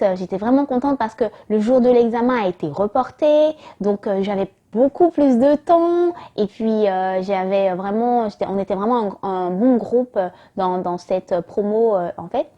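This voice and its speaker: A woman's voice: medium pitch, warm tone. A young woman from Martinique, telling a personal faith testimony in a casual, hesitant way with many fillers. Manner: hesitant, many fillers, acts out her own past thoughts. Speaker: vlogger giving a testimony.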